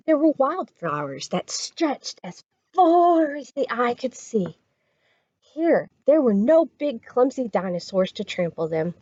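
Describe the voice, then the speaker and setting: A woman reading a children's story aloud as a storytime reader, expressive and dramatic. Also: on the high side, slightly rough, dull audio.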